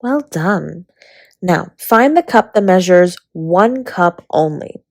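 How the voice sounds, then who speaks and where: Woman voicing a teasing dragon baker spouse, affectionate, intimate romantic roleplay, breathy, lowish voice, close dry recording. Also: lively intonation, slow, dry recording, exaggerated voice. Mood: playful, instructive. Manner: teasing, intimate, often slipping into breathy whispers. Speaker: voice actor in roleplay audio. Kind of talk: romantic roleplay audio, ASMR-like.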